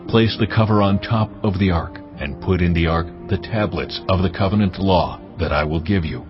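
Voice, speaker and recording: A man voicing God in a dramatized scripture reading, speaking in a measured, commanding way. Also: low voice, natural voice. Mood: solemn. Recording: dull lo-fi audio, faint music.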